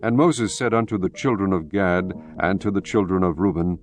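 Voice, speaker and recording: A man narrating scripture from a script in a solemn, measured and formal way. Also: low voice. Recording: music behind the voice.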